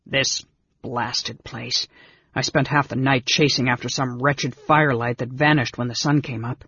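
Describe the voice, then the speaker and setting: Guy doing a big gruff good-hearted fighter, anxious and grumbly, husky, storybook style. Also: mid-range voice, steady tone, brisk, dry recording, dull audio. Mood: exasperated. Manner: blustering, loud, muttering.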